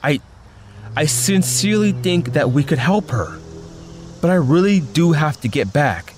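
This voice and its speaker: A man's voice: a little high, sing-song, a little slow. A young man playing an earnest, troubled secret superhero in an audio drama, with a hesitant, pleading delivery. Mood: earnest, weary. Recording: music behind the voice. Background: music.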